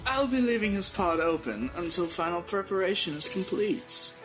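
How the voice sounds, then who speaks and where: A man puts on a clinical, dutiful technician for an audio drama. The voice is pitched high, far above his usual one, and speaks in formal, procedural phrases over faint music. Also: animated, moderate pace, a bit bright. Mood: clinical, focused. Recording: music behind the voice.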